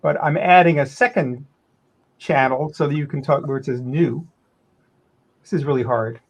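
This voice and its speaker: A man's voice: medium pitch, moderate pace, lo-fi sound. A man giving a casual technical demo as a developer, explaining step by step and correcting himself as he goes. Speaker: software developer demonstrating work.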